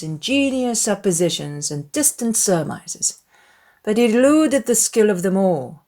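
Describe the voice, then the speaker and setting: A woman reading a dramatized literary audiobook in an expressive, measured storytelling manner. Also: medium pitch. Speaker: audiobook narrator.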